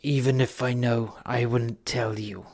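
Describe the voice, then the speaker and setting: A man voicing a firm, measured triad gangster in a spoken crime story. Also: mid-range voice, fairly even intonation, darkish tone, pitched below the performer's own voice. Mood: quietly firm.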